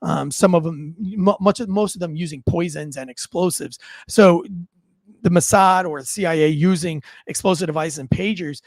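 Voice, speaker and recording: A man hosting an online news show, giving informal, unscripted commentary to viewers in a halting way, often repeating words. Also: fairly high pitch, no room sound.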